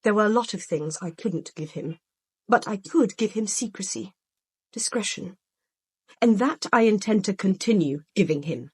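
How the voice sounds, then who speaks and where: Husky, proud, wounded secret lover. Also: female voice, medium pitch, animated, moderate pace, no room sound. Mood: proud, defiant. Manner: bitter, controlled, emotional. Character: proud, wounded secret mistress, guarded and defiant. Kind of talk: radio drama acting.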